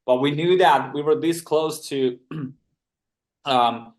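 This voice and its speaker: A man's voice: a little high, takes it slowly. A man from Finland with a Finnish accent, a musician guest on a podcast, speaking earnestly and intensely in English, often repeating words as he starts a sentence. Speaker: band member, guest. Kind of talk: podcast interview answers.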